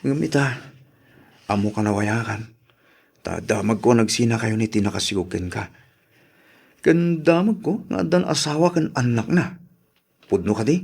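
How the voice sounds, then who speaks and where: A man with a Filipino accent playing an earnest suitor in a radio drama, speaking gently and pleadingly. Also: mid-range voice, lively intonation, normal speed, gravelly, long pauses, breathy edge. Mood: tender, confessing. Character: earnest, soft-spoken male suitor courting an old love. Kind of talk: Ilocano radio drama acting.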